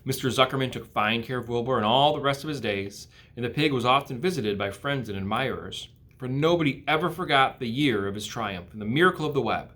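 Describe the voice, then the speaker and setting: An American man reads a children's storybook aloud. His voice sits at a medium pitch. He narrates as an educator would to pupils. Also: fairly quick, few pauses, a bit bright. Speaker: school educator reading aloud.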